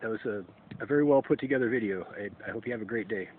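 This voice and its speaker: A man in his forties talking to camera in a solo vlog, halting and hesitant, often restarting and clearing his throat. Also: lowish voice. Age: 40s. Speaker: YouTube creator. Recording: tinny phone-like sound with faint ambient noise.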